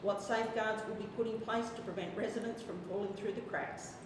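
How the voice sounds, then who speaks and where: A woman's voice: mid-range voice, fairly even intonation, brisk. A woman reading a formal, earnest prepared statement to a council, nervous as she speaks. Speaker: member of the public. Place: council chamber. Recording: echoey hall from far off, noisy.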